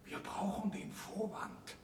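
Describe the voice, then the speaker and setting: An actor playing a wry, confiding Venetian doge in a German radio play, speaking in a measured way. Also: male voice, whispered, natural voice. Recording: distant, roomy, noisy radio play recording.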